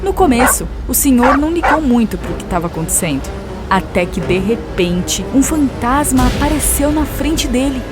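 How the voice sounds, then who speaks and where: A woman reading scripted narration for an animated children's story. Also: high-pitched, moderate pace, loud music behind the voice.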